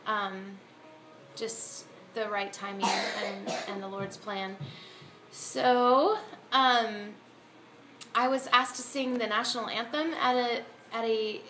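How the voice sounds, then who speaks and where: An American woman talking casually in a personal video blog, telling her story in a halting, rambling way. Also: medium pitch, fairly bright tone. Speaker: video blogger, amateur singer. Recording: echoey room, faint background noise.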